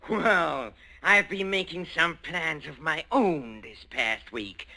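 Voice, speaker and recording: An actor in a 1940s radio drama playing a henpecked husband turned murderer, hesitant and menacing by turns. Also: male voice, a little high, animated, slow, gravelly, bright, forceful tone, exaggerated voice. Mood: gloating menace. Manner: stammering, hesitant, then fervently menacing. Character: meek henpecked husband turned gloating, unhinged wife murderer. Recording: old radio broadcast with music.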